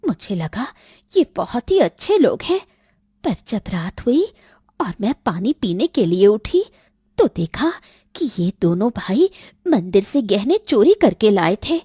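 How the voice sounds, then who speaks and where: A woman's voice: mid-range voice, sing-song, a little slow, warm tone, slightly rough, dry recording, studio quality. A woman voicing a tearful, scheming orphan girl in a cartoon story, with a plaintive, pleading manner. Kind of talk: Hindi cartoon story dialogue.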